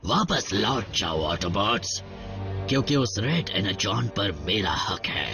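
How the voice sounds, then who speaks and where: An actor playing an arrogant, menacing winged robot villain in a cartoon dub, gravelly and theatrically boastful. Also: male voice, on the low side, big pitch swings, unhurried, heavily acted. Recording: loud music under the voice.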